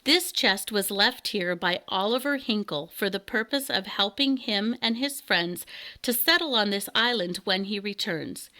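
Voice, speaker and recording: A woman's voice: medium pitch, bright, forceful tone. A woman voicing an eager, earnest boy adventurer in a children's storybook.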